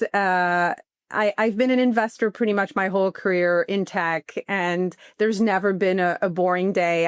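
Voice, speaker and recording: A woman's voice: medium pitch, clear, resonant tone, no room sound, clean studio sound. A French-born woman in her late thirties, a guest answering interview questions in an eager way with frequent restarts. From France. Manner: warm, eager, some restarts. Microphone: remote call.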